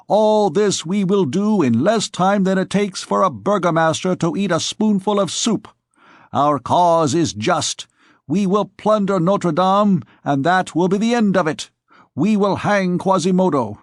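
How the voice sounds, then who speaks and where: A man voicing a boastful young male scamp who shouts to a crowd of thieves in an audiobook. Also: sits high, dry recording, clean studio sound, voice pushed up. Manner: shouting, swaggering. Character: brash young male scamp in armor, boastful rabble-rouser.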